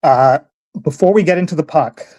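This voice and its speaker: A male guest in casual chat, deadpan and precise, teasing dryly. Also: on the high side, normal speed.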